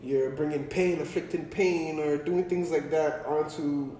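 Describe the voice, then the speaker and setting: A man's voice: fairly high pitch, fairly even intonation, measured pace, mellow. A man hosting an interview, asking easy questions and affirming answers with short repeated reactions. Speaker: interview host. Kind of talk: casual interview talk. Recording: echoey room with faint hum.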